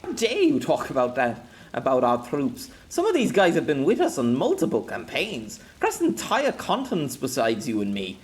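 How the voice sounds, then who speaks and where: Man voicing a gruff bodyguard, loyal, rough casual talk, audiobook character. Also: mid-range voice, wildly swooping pitch, moderate pace. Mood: angry.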